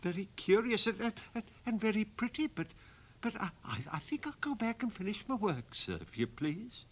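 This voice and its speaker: Brief: timid, stammering sexton, played by a male reader, quiet and shaky, bright tone. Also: on the high side, very animated, moderate pace, voice pushed up. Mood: frightened. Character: terrified, stammering old male gravedigger. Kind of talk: dramatic storybook reading.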